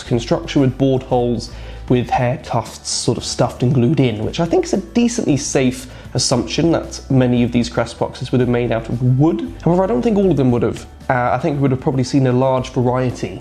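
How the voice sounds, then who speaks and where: A man's voice: medium pitch, warm tone. Nasal man explaining a craft project. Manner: rambling, with frequent ums and self-corrections. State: nasal from a cold. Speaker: reenactor and craft maker. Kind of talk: casual how-to narration.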